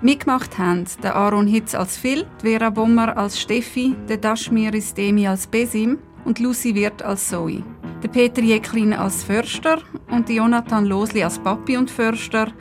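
Female podcast co-host, Swiss German, fairly high voice, casual presenting over light music. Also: fairly even intonation, normal speed. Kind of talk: reading credits and casual chat.

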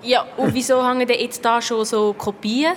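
A woman's voice: fairly high pitch, fairly even intonation, moderate pace, a little hiss or hum, close to an everyday voice. A woman with a Swiss accent playing a curious, cheeky young girl detective who narrates a children's radio play. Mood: cheeky, puzzled.

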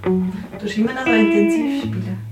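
A woman's voice: mid-range voice, lively intonation, moderate pace, distant mic, loud music behind the voice. A woman asking short interview questions. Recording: roomy, away from microphone.

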